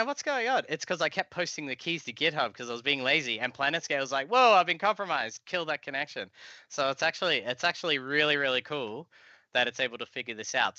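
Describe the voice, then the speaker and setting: A man's voice: a little high, bright, forceful tone, dry recording, dull audio. A man, around his thirties, giving an informal, explanatory live coding walkthrough as an instructor over a video call. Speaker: software tutorial instructor. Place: indoor room, video call.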